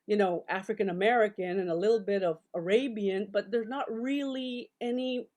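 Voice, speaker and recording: An older woman talks over a video call from her book-lined home study. She tells her stories in an animated, chatty way, full of fillers and self-corrections, at a middle pitch. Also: moderate pace. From El Paso, Texas. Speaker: guest, novelist and scholar. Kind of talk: casual storytelling interview.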